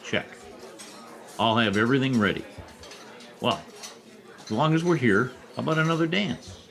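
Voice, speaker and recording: A man's voice: medium pitch, animated, moderate pace, vocal fry, a bit bright, soft background music. A man acting a wry, scheming newspaper reporter in an old-time radio comedy, with a theatrical delivery. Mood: conspiratorial.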